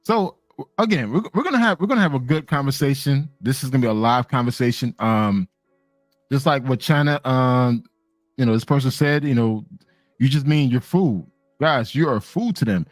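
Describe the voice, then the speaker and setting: A man's voice: expressive, normal speed, no echo, faint music behind. Emphatic man hosting a live chat. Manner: emphatic, swings up in pitch when stressing a point. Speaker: host.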